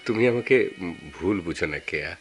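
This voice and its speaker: A caring Bangladeshi man in a radio play. His voice sits fairly low and moves expressively as he advises with careful concern. Music is heard behind him. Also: animated, a little fast. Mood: concerned, cautious. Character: caring, cautious male family friend, gently advising. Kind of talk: Bengali radio drama acting.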